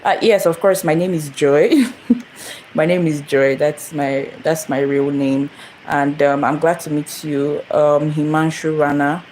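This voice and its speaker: A woman's voice: deep voice, steady tone, unhurried, a little mellow, a little hiss or hum. A young woman from Nigeria hosting a casual phone livestream, rambling and repeating herself as she greets viewers. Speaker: channel host. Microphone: phone camera.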